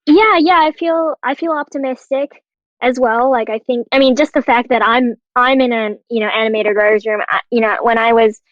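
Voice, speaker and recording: A woman's voice: a little high, fairly even intonation, moderate pace, no echo. A young American woman chatting casually in a panel discussion, peppering her talk with filler phrases like "you know", on slightly dull audio. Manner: conversational, frequent "like" and "you know". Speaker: panelist, animation writer and actor.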